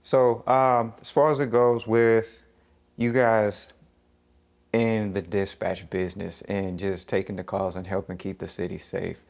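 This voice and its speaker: A man with a fairly low voice hosts a local television interview. He speaks informally, moving between questions and short affirmations. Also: flat delivery, unhurried, creaky, darkish tone. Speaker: show host interviewer. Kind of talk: interview hosting on a local TV show.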